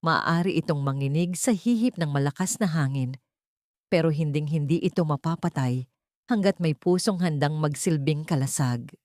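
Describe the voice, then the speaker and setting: A woman's voice: low voice, normal speed, a little mellow, no echo, studio quality. A Filipino woman narrating a scripted dramatic story in a sentimental, solemn manner. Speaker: audiobook narrator.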